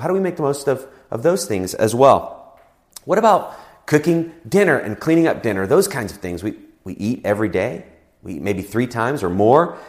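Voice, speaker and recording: A man's voice: mid-range voice, a little mellow. A man in his forties preaching a sermon in a conversational way, asking his listeners questions. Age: 40s. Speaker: pastor.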